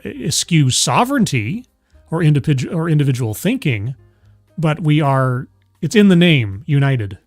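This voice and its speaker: A man's voice: fairly high pitch, dry recording. A man hosting a casual chat show, who stammers and restarts often while arguing his point. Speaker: host.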